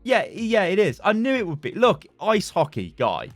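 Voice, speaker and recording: A man's voice: high voice, huge pitch swings, normal speed, no room sound, soft background music. A young British man chatting casually as a gaming YouTuber, rambling and laughing as he reads and reacts to forum posts. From Britain.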